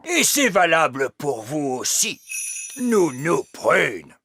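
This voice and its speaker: A man's voice: a little high, sing-song, slow, gravelly, pushed and bright, husky, faint music behind, exaggerated voice. A man voicing a wise old elf teacher in a French cartoon dub, pompous and schoolmasterly, stern but patient. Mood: instructive, patient. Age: older.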